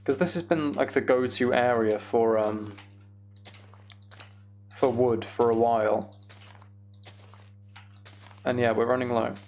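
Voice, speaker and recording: Casual male game streamer with creaky voice. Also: medium pitch, steady tone, slow, pauses often, darkish tone, a little hiss or hum. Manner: thinking aloud, rambling.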